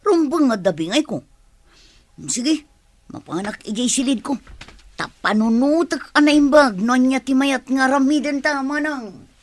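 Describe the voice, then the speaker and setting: A woman's voice: high-pitched, expressive, a little slow. An actress playing a pushy, money-hungry village woman who schemes, in a radio drama. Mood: scheming.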